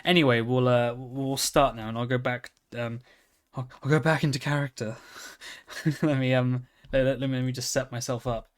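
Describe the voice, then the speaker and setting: A man's voice: mid-range voice, steady tone, moderate pace. A man hosting his own video, chatting casually in a rambling, jokey and offhand way. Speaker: video host. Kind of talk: casual chat to camera.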